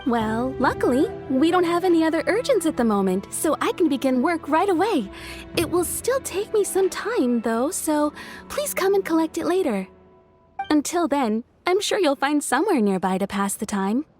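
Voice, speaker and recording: A woman's voice: high voice, very animated, moderate pace, a little mellow. A woman voicing a shopkeeper in a video game, friendly and businesslike. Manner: friendly, businesslike shop talk. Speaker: shopkeeper character. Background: background music.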